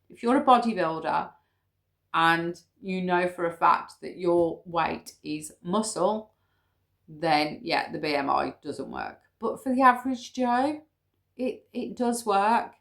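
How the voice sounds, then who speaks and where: A woman's voice: on the low side, fairly bright tone. A British woman in her fifties talking casually to camera, explaining her figures step by step with frequent ums. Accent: British English. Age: 50s. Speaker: weight-loss vlogger.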